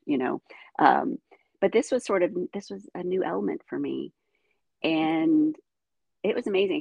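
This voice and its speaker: A middle-aged woman, first raised speaking Ukrainian and from the United States, telling her life story as a guest in animated conversation. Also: sits low, vocal fry, slightly rough, dry recording, narrow, tinny audio. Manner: animated storytelling, frequent "you know". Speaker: guest, dancer.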